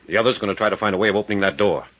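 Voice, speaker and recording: An actor playing a resolute American captain in a 1940s radio adventure, commanding and dramatic, with a raspy, widely swinging voice. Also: male voice, lowish voice, rapid-fire, a bit bright. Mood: steady, resolute. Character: resolute, quick-thinking captain leading an adventure team. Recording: muffled vintage 1940s radio recording.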